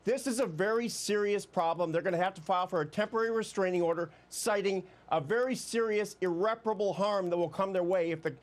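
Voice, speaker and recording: A man's voice: sits high, quick pace, few pauses. A man with an American accent arguing insistently as a legal panelist on TV news, often using rhetorical questions. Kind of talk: argumentative TV panel commentary.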